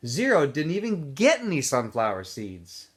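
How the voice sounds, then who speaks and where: A man's voice: fairly high pitch, huge pitch swings, moderate pace, husky. A male teacher casually recapping a story for students, then reading a novel aloud expressively.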